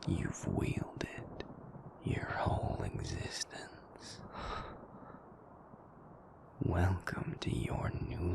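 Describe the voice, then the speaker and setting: A man doing a whispering, gravelly sadistic captor. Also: low-pitched, heavily acted. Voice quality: creaky, whispery. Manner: slow, taunting menace. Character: sadistic, mocking male captor gloating over a fallen prince. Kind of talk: audio roleplay drama.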